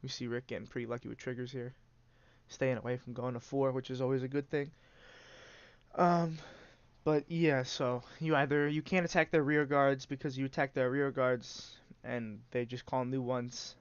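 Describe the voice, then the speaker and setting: A man's voice: medium pitch, level tone, moderate pace, clean tone, no echo, lo-fi sound. A man with a congested, stuffy nose hosting and giving casual, rambling play-by-play commentary on a card game. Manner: rambling, many "uh" fillers, restarts. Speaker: host and commentator.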